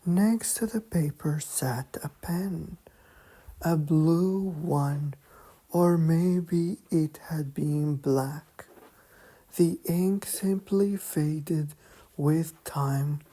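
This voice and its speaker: Female bedtime narrator: soft, breathy near-whisper, dark tone, soothing monotone, unhurried pauses. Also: deep voice. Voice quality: soft, breathy, often slipping toward a whisper. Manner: calm, monotone, hushed, with long pauses. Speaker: sleep story narrator. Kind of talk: slow soothing bedtime sleep story narration.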